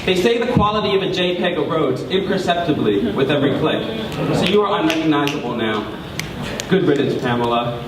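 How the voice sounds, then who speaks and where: Young male spoken word poet, high voice, theatrical and deliberate, stretching key words, captured from far off in a noisy hall. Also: lively intonation. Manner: dramatic, deliberate phrasing with drawn-out emphasis. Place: large room before a live audience. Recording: echoey hall, distant mic, clear background noise.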